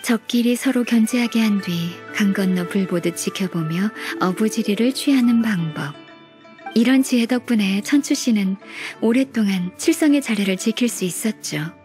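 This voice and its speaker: An actress plays a kindly senior woman secretary from a video game. Her mid-pitched delivery is soft, polite and measured, modest yet dependable, like a patient mentor. Faint music plays underneath. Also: natural voice. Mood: calm explaining.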